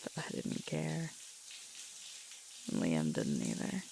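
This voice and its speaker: A woman playing a sweet, tired young alpha werewolf girlfriend in an intimate ASMR audio roleplay, breathy and halting, often dropping toward a whisper. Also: a bass voice, huge pitch swings, exaggerated voice. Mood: wry, relaxed reminiscing. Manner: halting, intimate, with sighs and murmurs. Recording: loud hissing shower water throughout. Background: running shower water.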